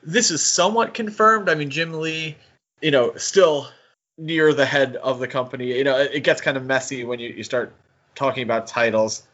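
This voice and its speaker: A man hosting a podcast in a casual discussion style, talking in a halting, conversational way. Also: medium pitch, fairly bright tone, muffled recording. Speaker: podcast host.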